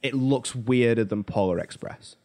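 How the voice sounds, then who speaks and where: A man with an average-pitched voice talks casually as a podcast co-host. His explanations ramble and halt, drifting into side points as he works through them. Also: moderate pace, mellow. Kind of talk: casual chat about films.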